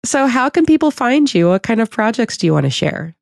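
Creaky-voiced woman hosting a podcast. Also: a little high, sing-song, brisk, a little mellow, no echo, clean studio sound. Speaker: podcast host. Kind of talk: casual interview chat.

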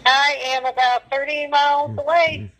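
A woman's voice: high-pitched, steady tone, a little slow, a bit bright, faint music behind. A woman giving brief, casual replies, heard through a two-way radio. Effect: walkie-talkie radio transmission.

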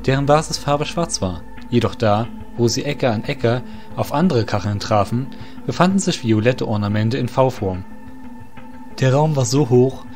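A man's voice: mid-range voice, darkish tone. A man narrating a fantasy audio drama in a scripted storytelling style. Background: faint music.

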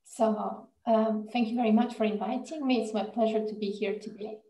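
Woman, 40s, soft mellow tone, relaxed storytelling, roomy video-call sound. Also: mid-range voice, moderate pace, slight background noise. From Bosnia, with years in Mexico and Austria. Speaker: interview guest, professor. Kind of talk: conversational personal storytelling. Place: indoor room on a video call. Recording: echoey room on video call.

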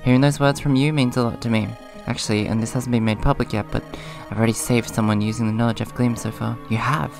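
A man hosts a casual let's play in a hoarse, subdued voice at an average pitch. He moves between rambling comments and reading on-screen dialogue. Music plays clearly behind him. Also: fairly even intonation, quick pace, few pauses. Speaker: gaming video host. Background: video game music.

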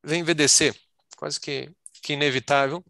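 A man's voice: fairly high pitch, steady tone, normal speed, vocal fry, crowd noise. A Brazilian man answering as a panelist on a video call, explaining at length and often repeating words as he searches for them.

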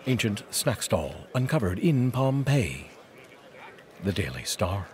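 Middle aged guy reading poetry, really low husky voice, swoops around a lot, close mic. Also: sing-song, takes it slowly, darkish tone. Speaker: poetry reader, channel host. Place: small curtained home studio, indoors. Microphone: close studio microphone on boom arm with pop filter.

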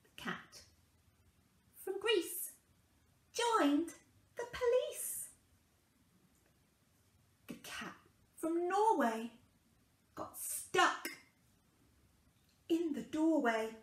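A young woman reading a children's picture book aloud in a deliberate, sing-song way with dramatic pauses, recorded in a small room at home. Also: very high voice, lively intonation, echoey room. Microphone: camera a couple of metres away.

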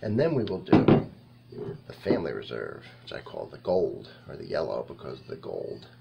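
A man in his fifties giving a casual, halting tasting review to camera, rambling and thinking aloud in a small indoor room. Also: a bass voice, huge pitch swings, vocal fry, background noise. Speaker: wine reviewer and host.

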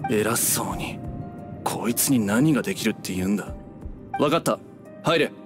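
A man's voice: on the low side, animated, moderate pace. A man voicing a suspicious, grudging masked guard in a Japanese video game, with a curt manner. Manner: curt, gruff wording, suspicious. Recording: game music behind the voice.

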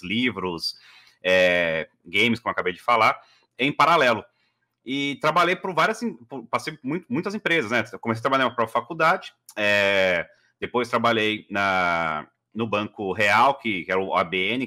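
Bright-voiced São man chatting. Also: mid-range voice. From Brazil. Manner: chatty, many fillers and restarts. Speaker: guest. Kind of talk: casual interview storytelling. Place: living room at home.

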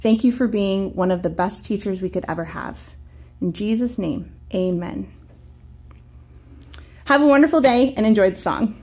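A woman in her thirties teaching a children's church lesson to camera, gentle, clear and encouraging. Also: medium pitch, normal speed, long pauses.

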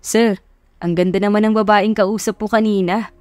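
A woman's voice: mid-range voice, fairly even intonation, moderate pace, a little mellow, dry recording, close to an everyday voice. Woman voicing cheeky male bodyguard. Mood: teasing. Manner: playful, deferential. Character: cheeky, joking male bodyguard teasing his boss.

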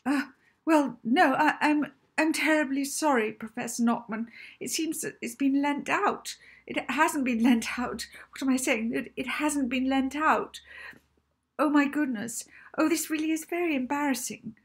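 A woman's voice: sits high, a little slow, voice pushed up. A woman voicing a nervous, apologetic female librarian in a storybook, stammering as the words tumble out. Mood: flustered, apologetic.

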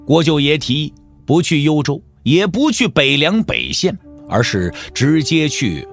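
A man narrates a martial-arts novel as a dramatic storyteller. His voice sits a little high and moves with lively swings, wry and theatrical, over soft music. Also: a little slow. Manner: theatrical, wry, with rhetorical questions. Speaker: audiobook narrator.

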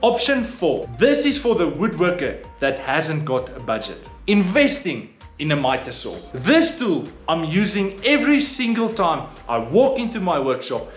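A man in his thirties presenting advice to camera as a DIY channel host, with emphatic, swooping delivery in a small workshop. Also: sits high. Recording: echoey room with background music.

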